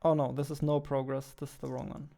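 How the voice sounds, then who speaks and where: A man's voice: mid-range voice, flat delivery, quick pace, gravelly, warm tone. A man in his twenties giving casual commentary as he thinks aloud while working as a game developer. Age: 20s. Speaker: game developer, video host. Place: small indoor room at a computer.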